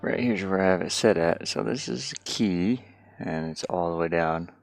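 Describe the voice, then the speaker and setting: A man's voice: low-pitched, moderate pace, creaky, dry recording, studio quality, faint music behind. A middle-aged man chatting casually on a livestream while he works, hesitant and muttering to himself. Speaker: livestreamer and hobby musician.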